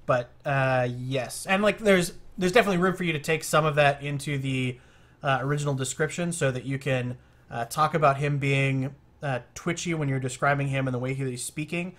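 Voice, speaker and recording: Man, experienced game master, relaxed advice, lots of fillers, mid pitch. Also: brisk. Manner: relaxed, many fillers, explanatory. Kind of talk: casual feedback discussion on a call.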